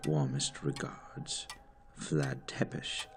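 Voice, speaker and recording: A man performs a grave, courteous director of a secret agency in an audio drama. The voice is very low and gravelly, moving expressively through formal, theatrical phrasing. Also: very animated, drawn-out words, over music, full character voice.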